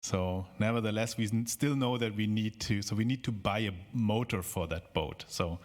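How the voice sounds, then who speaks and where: Low-voiced man speaking up from the audience. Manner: halting, many restarts, joking. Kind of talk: conference Q&A. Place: conference hall.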